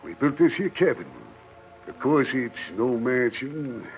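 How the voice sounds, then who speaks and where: Actor as a folksy desert prospector, tinny old radio. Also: male voice, mid-range voice, expressive, unhurried, soft background music, natural voice. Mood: storytelling. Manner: folksy Western drawl with rustic slang. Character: rough desert prospector living alone, telling grim stories. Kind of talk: old-time radio horror drama acting.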